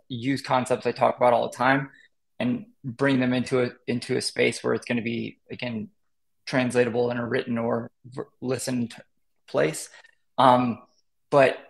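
A man in his thirties with a gravelly, creaky edge to his voice, chatting on a video call. He rambles through his points with plenty of fillers and self-corrections, like a teacher talking off the cuff. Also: mid-range voice, rough recording. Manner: rambling, many fillers and restarts. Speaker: marketing educator, guest. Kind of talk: casual interview chat.

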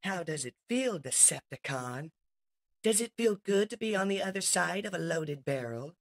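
A man's voice: sits high, expressive, normal speed, vocal fry, voice pushed up, exaggerated voice. A man voicing a bitter, vengeful female robot soldier, taunting and threatening, in a comic-book story. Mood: bitter threat.

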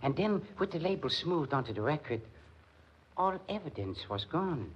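Deranged German lover, wildly swooping voice. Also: male voice, a little high, big pitch swings, moderate pace. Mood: gloating. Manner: theatrical, sinisterly tender, chuckling madly. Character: deranged, lovesick German musician, softly menacing murderer. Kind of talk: 1940s radio drama acting. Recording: muffled 1940s radio recording, faint noise.

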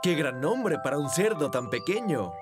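A man narrating a children's cartoon in simple, clearly phrased storybook style. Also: fairly high pitch, sing-song, moderate pace, over music.

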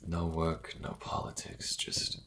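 A man playing an idealistic, protective crime boss in an ASMR fantasy roleplay, speaking slowly and intimately in a breathy half-whisper. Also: low-pitched, wildly swooping pitch, background noise, heavily acted. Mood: tender, reassuring. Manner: slow, intimate, measured, formal wording.

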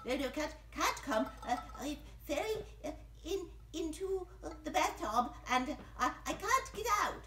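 Woman putting on a dithering, slow puppet-show doctor. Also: high-pitched, animated, pushed and bright, distant mic, exaggerated voice. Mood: dithering, stubborn. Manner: halting, stammering, repetitive. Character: dotty, stubborn, absent-minded male doctor on the phone. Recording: echoey room.

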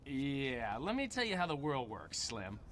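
A man's voice: medium pitch, very animated, unhurried, background noise. An American man playing a glib, boastful, patronising middle-aged tycoon in a video game cutscene. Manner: glib, self-satisfied. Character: smug, slick male tycoon, boastful and patronising.

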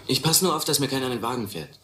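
An actor playing a tough, scornful street boy from the slums, talking gruff, cocky slang in a radio play. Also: mid-range voice, lively intonation, rapid-fire, sharp tone. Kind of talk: German radio play dialogue. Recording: echoey, slightly noisy audio drama recording.

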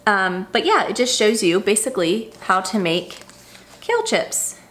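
A woman's voice: medium pitch, very animated, unhurried, a bit bright, a little hiss or hum. A woman in her thirties chatting through an unboxing in her home kitchen, upbeat and gushing over each item. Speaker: vlogger. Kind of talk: casual unboxing chat.

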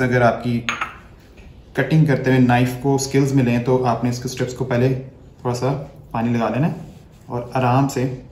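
Man, 30s, chef; calm instructive demo delivery, mid-pitched, roomy kitchen sound. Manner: step-by-step instructive, speaks while working with hands. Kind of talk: cooking demonstration. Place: home kitchen, indoors. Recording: echoey kitchen, faint background noise.

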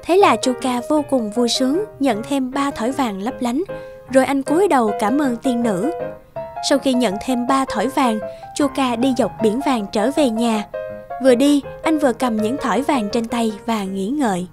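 A woman narrating a scripted children's fairy tale with expressive storytelling. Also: fairly high pitch, moderate pace, over music.

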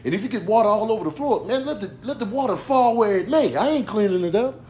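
A man's voice: sits high, expressive, quick pace, darkish tone. A man voicing a fussy animal full of homespun advice in a comic video dub, slangy, insistent and repetitive. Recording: roomy, echoey, clearly noisy.

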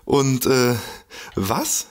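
An actor playing a gullible, excitable man in a comic audio drama, stammering and easily amazed. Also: mid-range voice, drawn-out words. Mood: nervous, intrigued. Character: gullible, excitable man, a food-loving paranormal enthusiast.

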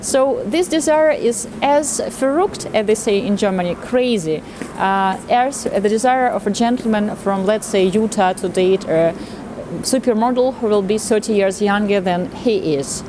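A woman in her thirties talking to camera outdoors in a city street, explaining her matchmaking work in an animated way and acting out remarks. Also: mid-range voice, unhurried, noisy background. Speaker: matchmaker presenting a video channel.

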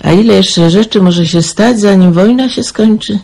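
Fretful older mother, low creaky voice. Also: moderate pace, mellow. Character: anxious older mother fretting over her daughter. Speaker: radio actress. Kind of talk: 1990s radio drama acting.

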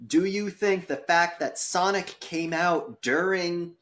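A man's voice: sits high, slow, a bit bright. A man moderating a podcast debate in a casual, conversational way, posing questions.

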